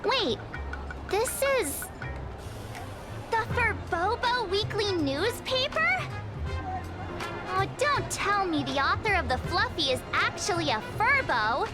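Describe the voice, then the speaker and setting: A woman playing a cheerful, excitable young girl traveler in a video game, with a bubbly, chatty and expressive delivery. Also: very high-pitched, takes it slowly, pauses often, over loud music, full character voice. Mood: surprised.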